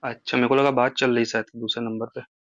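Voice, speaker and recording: A man's voice: medium pitch, level tone, fast talker, fairly bright tone, no echo, lo-fi sound. A man in a casual private phone chat, explaining and retelling conversations on a recorded call. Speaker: phone caller.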